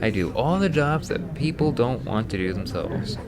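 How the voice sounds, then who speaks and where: A man's voice: medium pitch, expressive, moderate pace, a loud crowd, natural voice. A man voicing a boastful, cynical ex-adventurer drinking in a tavern in a roleplay piece, with a sardonic, bragging manner. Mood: cocky. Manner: cocky, sardonic, slurred bragging. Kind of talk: romance roleplay ASMR.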